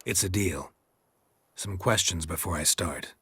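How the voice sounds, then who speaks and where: An actor playing a gruff middle-aged monster hunter in a fantasy game, speaking tersely and drily with a gravelly voice. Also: male voice, sits low, unhurried, no room sound, exaggerated voice. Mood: terse, businesslike. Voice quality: gravelly, husky. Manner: terse, dry, deadpan. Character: gruff, deadpan monster-hunting witcher, middle-aged man. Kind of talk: video game acting.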